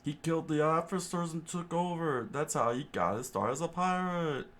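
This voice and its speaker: A man's voice: normal speed, a bit bright, lightly acted. A man voicing a frantic male cook who urgently warns everyone about a pirate. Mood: alarmed. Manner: shrill and urgent.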